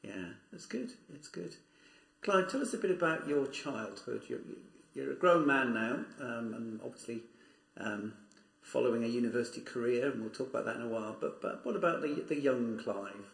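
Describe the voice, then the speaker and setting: A man's voice: medium pitch, expressive, normal speed, creaky, fairly bright tone, roomy sound, low-quality recording. A man interviewing a guest, encouraging him with frequent murmurs of agreement.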